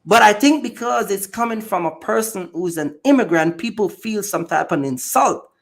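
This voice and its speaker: A man's voice: sits high, expressive, normal speed, vocal fry. Blunt emphatic Jamaican man. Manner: emphatic, blunt, repeats himself. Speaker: channel host. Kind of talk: casual podcast conversation.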